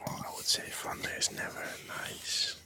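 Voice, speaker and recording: A soft, breathy whisperer, rambling gently for ASMR with hesitant pauses and repeated phrases. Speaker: ASMR video creator.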